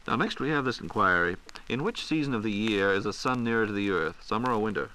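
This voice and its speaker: A man hosting a 1940s radio question program, reading out listener questions in a polite, crisp announcer manner. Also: fairly low pitch, animated, fast, pushed and bright, slight background noise, rough recording. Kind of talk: semi-scripted 1940s radio question-and-answer. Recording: 1940s radio broadcast recording.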